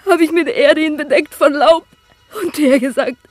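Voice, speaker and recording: Woman as a high-voiced mournful young page. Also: dry recording, faint music behind, natural voice. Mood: sorrowful resolve. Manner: mournful, deliberate. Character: grieving young page, gentle, devoted, woman disguised as boy. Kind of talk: classic radio drama acting.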